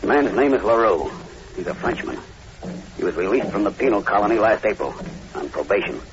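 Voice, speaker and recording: An actor playing a grim, uneasy plantation manager in a 1940s radio drama, speaking earnestly and with foreboding. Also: male voice, lowish voice, lively intonation, a little fast. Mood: grave, matter-of-fact. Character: grim, uneasy male plantation manager, long-time islander. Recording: muffled, very noisy old radio recording.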